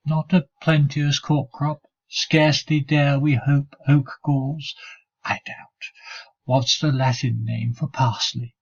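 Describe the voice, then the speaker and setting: A man reciting a dramatic poem as a jealous, sneering monk who seethes with hatred for a fellow brother, in a husky voice. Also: mid-range voice, little pitch movement, slow, pitched above the performer's own voice. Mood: sneering, mocking. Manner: sneering, sarcastic, growling asides. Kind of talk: dramatic poetry recitation, 19th century monologue. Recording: dull lo-fi recording.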